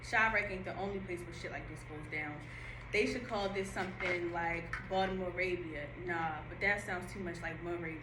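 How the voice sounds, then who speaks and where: A woman's voice: fairly low pitch, fairly quick, close to an everyday voice. Woman playing a brash cafe worker, echoey room. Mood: brash, rambling. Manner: animated, slangy, bustling. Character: brash, chatty young woman working a cafe counter. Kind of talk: audio play read-through. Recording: echoey room with background noise.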